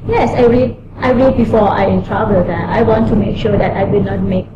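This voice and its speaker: A woman's voice: mid-range voice, fairly even intonation, normal speed, highs cut off. A woman chatting casually as a radio interview guest in simple, English. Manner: non-native English, simple phrasing. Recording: roomy echo, clearly noisy background.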